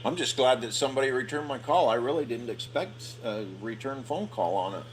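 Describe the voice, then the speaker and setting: A man's voice: mid-range voice, animated, a little fast, a little hiss or hum. A man working in construction, asking questions on a phone call and often restarting his sentences. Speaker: construction worker calling a safety agency.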